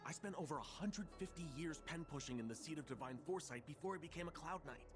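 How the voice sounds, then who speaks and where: A secretive young-sounding soldier played by a man in a fantasy game, his voice a bit high and expressive. He is chatty yet wary, confiding urgently and informally while music plays behind him. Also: quick pace, close to an everyday voice. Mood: assured, conspiratorial. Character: wary, self-assured male undercover soldier, secretive and chatty. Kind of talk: video game voice acting.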